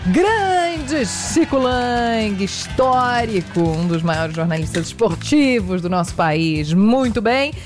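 A woman's voice: a little high, animated, slow pace, few pauses, music in the background. Playful female news co-anchor. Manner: chatty and playful. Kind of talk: live news presenting.

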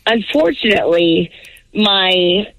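A woman with a scratchy voice calls in over a phone line from her car. She talks in a bold, teasing way at an everyday pitch. The sound is dry and close. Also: expressive, slow, fairly bright tone, no echo. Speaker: caller. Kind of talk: phone call to a radio show.

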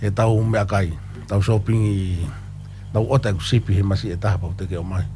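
A man's voice: fairly low pitch, steady tone, fast talker, mellow, a little hiss or hum. A man in casual chat, telling a story in an easygoing way. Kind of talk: casual chat mixing English and a Pacific language.

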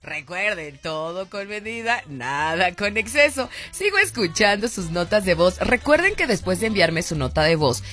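A woman's voice: medium pitch, sing-song, normal speed, hardly any pauses, a bit bright, faint music behind. A Mexican woman hosting a radio call-in show, playful and exclamatory, laughing often as she plays listeners' voice notes. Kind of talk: lively radio call-in chat.

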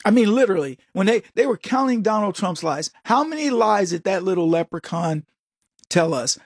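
A man's voice: high-pitched, lively intonation, normal speed, creaky, breathy edge, dry recording, clean studio sound. A man hosting a talk radio show, giving opinionated commentary in a mocking tone with laughing asides and frequent restarts.

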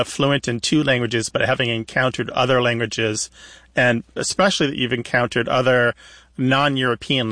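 A man's voice: medium pitch, a bit bright, dry recording. A man hosting a call-in radio show, explaining things in a conversational way.

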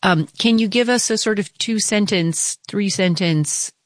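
A woman's voice: medium pitch, measured pace, dry recording, studio quality. A woman moderating a panel discussion, who introduces guests and rephrases her questions as she asks them.

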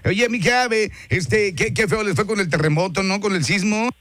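A man's voice: high voice, moderate pace, fairly bright tone, no echo. A man with a northern Mexican accent hosting a hyped radio show, exclamatory and familiar, often repeating his phrases. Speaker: radio DJ host. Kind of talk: hyped local radio chat, greetings and plugs. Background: music.

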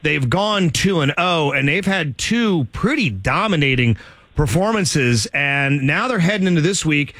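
A man's voice: fairly high pitch, lively intonation, a bit bright, no echo. A man hosting a sports radio show, joking around and interviewing a caller. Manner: jokey, teasing, reads promos quickly. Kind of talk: casual sports radio chat. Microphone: studio microphone.

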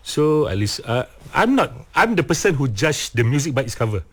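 A deep-voiced man in his forties with a Malaysian English accent, a metal vocalist who talks haltingly and keeps restarting his sentences in a casual interview. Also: big pitch swings. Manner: halting, searching for words, frequent restarts.

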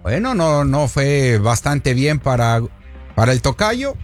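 A man's voice: mid-range voice, big pitch swings, slow, soft background music. A man co-hosting a sports talk show, reading out viewer comments with a playful, teasing manner. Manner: playful, teasing, jokes and laughs.

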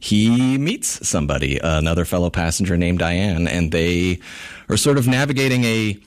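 Low-voiced man, friendly actor guest on radio, relaxed and chatty. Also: moderate pace. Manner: friendly, laughs often, restarts sentences. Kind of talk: radio interview.

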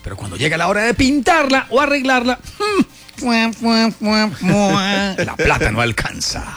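A man's voice: sits high, wildly swooping pitch, a little slow, pushed and bright, faint music behind. A Colombian man hosting a morning radio show, chatting with listeners in a playful, colloquial, exclamatory way.

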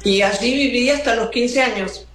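A woman's voice: fairly high pitch, normal speed, a bit bright, soft background music. Woman in her seventies, roomy sound. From Argentina. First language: Italian. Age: 70s. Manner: chatty, laughs easily. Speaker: singer, guest. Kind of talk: casual interview.

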